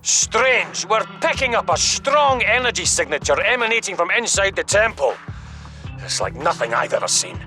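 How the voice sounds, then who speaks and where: Forceful, high-pitched male spymaster. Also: big pitch swings, normal speed, faint music behind, full character voice. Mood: urgent briefing. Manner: commanding, earnest. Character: stern, concerned male spymaster commanding by radio. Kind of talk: video game cutscene acting.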